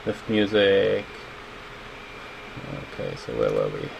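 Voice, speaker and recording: A man's voice: sits low, big pitch swings, takes it slowly, vocal fry, highs cut off, noisy background. A man in his thirties with a German accent, a native German speaker, giving casual livestream game commentary as a level designer and host. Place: indoors at a computer, streaming.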